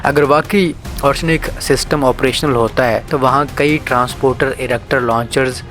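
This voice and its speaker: A man's voice: medium pitch, steady tone, normal speed, fairly bright tone. Male news narrator over music. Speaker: narrator of a defence news channel. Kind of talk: scripted news-explainer narration. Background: background music.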